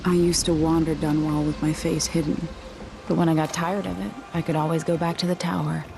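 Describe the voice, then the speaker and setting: An actress playing a composed, reflective and resolute deposed empress in a video game cutscene. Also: female voice, low-pitched, flat delivery, brisk, creaky, background noise.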